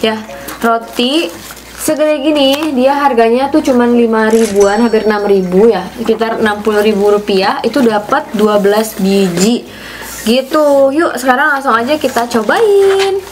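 A woman's voice: fairly high pitch, a little slow, a little hiss or hum, rough recording. A young woman chatting casually as she films a shopping vlog, upbeat and explaining things as she goes. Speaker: vlogger. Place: supermarket, then home dining room. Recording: background music.

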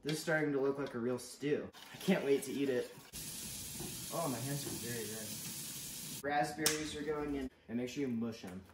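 A man's voice: medium pitch, moderate pace, background noise. Joking teenage boy in an echoey kitchen. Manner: sarcastic, jokey, playfully enthusiastic about gross food. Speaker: co-host cooking. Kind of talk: mock cooking show. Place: home kitchen, indoors. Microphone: camera across the counter. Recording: echoey kitchen, clattering pots.

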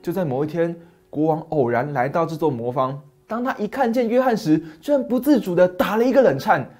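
A middle-aged man tells a fairy tale with dramatic, animated delivery. He is recorded on a lapel mic in a small study, with faint music underneath. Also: a little high, a little fast. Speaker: storyteller host. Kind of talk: scripted fairy-tale narration. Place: small dim study set.

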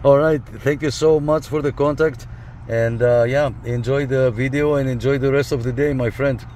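A man's voice: mid-range voice, fairly even intonation, normal speed. Male CB operator, casual and conversational, in a vehicle with faint background noise. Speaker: CB radio operator, host. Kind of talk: casual radio chat to camera. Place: inside a parked minivan outdoors. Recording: faint engine and car noise. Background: faint vehicle and outdoor noise.

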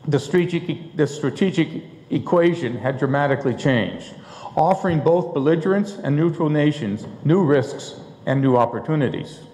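Older man, history lecture, slightly high voice, echoey auditorium with long reverb. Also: lively intonation, darkish tone. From United States. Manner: measured reading with restarts and fillers. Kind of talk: public history lecture read from notes. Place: large auditorium stage at a lectern. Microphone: lectern mic at a distance. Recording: roomy hall reverb.

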